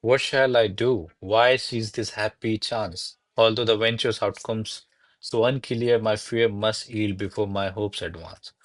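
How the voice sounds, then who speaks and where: A man playing a low-voiced, cautious gentleman secretary. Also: steady tone, measured pace, natural voice. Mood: wondering, resolute. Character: cautious, deferential male secretary to a noblewoman, secretly ambitious. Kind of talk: classical verse stage comedy as radio play.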